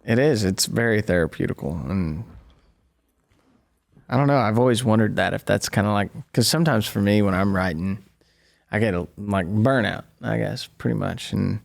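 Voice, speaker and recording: Man, 20s, musician host, deep voice, gravelly, hesitant, laughs often. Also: moderate pace. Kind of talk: casual conversation.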